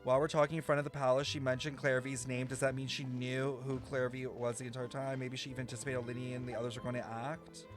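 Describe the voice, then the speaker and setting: A man's voice: medium pitch, little pitch movement, fast, hardly any pauses. A man giving casual live reactions while streaming a game, blunt, sarcastic and exclamatory, with some swearing. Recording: faint game music behind. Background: video game music.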